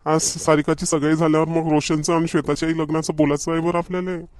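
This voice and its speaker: A man's voice: fairly high pitch, flat delivery, quick pace, a little mellow, dry recording, close to an everyday voice. A man voicing a fussy middle-aged village father in a cartoon comedy, with a rural Maharashtrian accent and nagging manner. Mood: impatient, hurried. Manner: nagging, repetitive urging. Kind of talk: homemade cartoon comedy dialogue.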